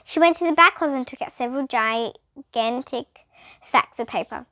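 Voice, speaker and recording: A woman's voice: high-pitched, normal speed, pushed and bright. A female reader narrating a children's storybook aloud, with occasional explanatory asides.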